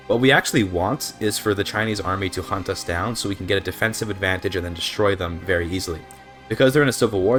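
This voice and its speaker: A young man with a low, deep voice and a slightly scratchy throat, talking into a desk mic. He explains his game strategy in a relaxed, thinking-aloud way, with soft music playing faintly behind him. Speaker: speedrunner commentating gameplay. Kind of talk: casual gameplay commentary. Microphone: desk microphone.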